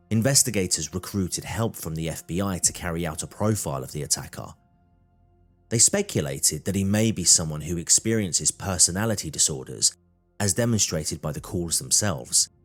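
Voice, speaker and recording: Male narrator for scripted true crime, deep register, faint music bed.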